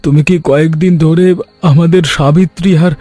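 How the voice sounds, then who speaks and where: Man acting a mellow, anxious village farmer. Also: fairly high pitch, steady tone, moderate pace, dry recording, soft background music, close to an everyday voice. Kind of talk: scripted animated story dialogue.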